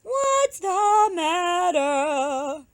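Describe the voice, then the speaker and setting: Lady playing a caring girl magpie in a kids book, high voice, slow stretched out fussy words. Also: very animated, dry recording, exaggerated voice. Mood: concerned. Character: helpful, caring female magpie bird. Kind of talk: storybook read-aloud for young children.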